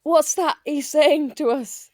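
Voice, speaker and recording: Awestruck humble onlooker, played by a woman, high-pitched, theatrical, close and dry, for comedy roleplay. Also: takes it slowly, darkish tone, no room sound. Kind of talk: improvised audio comedy roleplay.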